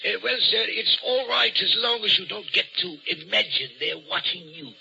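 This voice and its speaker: An old, muffled radio drama recording with faint music. a jumpy, deferential museum attendant with a high, creaky voice. He is hesitant and ominous, like someone sharing a ghost story. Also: normal speed, pushed and bright, slight background noise, heavily acted. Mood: nervous, deferential. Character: nervous, deferential male wax museum attendant, fond of eerie tales.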